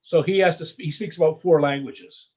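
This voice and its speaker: A man from the United States in a casual interview, rambling warmly and tagging his sentences with "okay?" and "you know?". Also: a little high, fairly even intonation, fairly quick, lo-fi sound.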